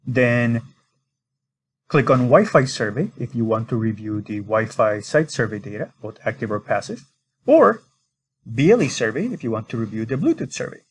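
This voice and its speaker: A man's voice: medium pitch, animated, moderate pace, breathy edge. A man narrating an instructional screen recording as a product demonstrator, explaining step by step in an informal way.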